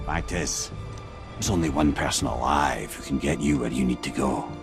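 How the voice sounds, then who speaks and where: A man's voice: rumbling low, normal speed, gravelly, over music. An actor playing a clever, boastful captive wise man in a fantasy game, with a Scottish accent and a wry, theatrical, wordy manner. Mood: persuasive. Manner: wry, theatrical, verbose, sardonic. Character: clever, boastful, talkative imprisoned wise man of Norse myth. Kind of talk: cinematic fantasy video game acting.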